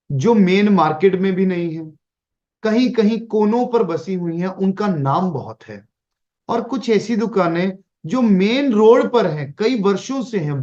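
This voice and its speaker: A man's voice: high-pitched, a little mellow, lo-fi sound. A man lecturing in an online class, mixing English phrases into Hindi and asking rhetorical questions. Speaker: Vastu teacher.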